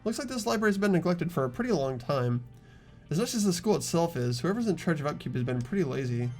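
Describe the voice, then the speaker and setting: Creaky young man hosting a game playthrough. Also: a little high, very animated, fast, darkish tone, faint music behind. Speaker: host of a group game playthrough. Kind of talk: reading game narration and casual commentary.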